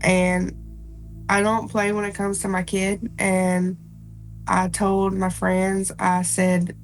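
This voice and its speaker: Slow, gravelly woman, congested. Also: medium pitch, monotone. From South Carolina. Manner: slow, folksy storytelling. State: congested, nasal. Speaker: paranormal investigator guest. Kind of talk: casual podcast chat. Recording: faint background noise.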